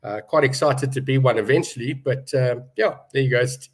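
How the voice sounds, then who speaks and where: A man in his fifties speaks as a betting pundit, his voice sitting at an ordinary middle pitch. He rambles candidly and laughs easily as he talks. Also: a little fast. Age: 50s. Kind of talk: casual chat on a betting show.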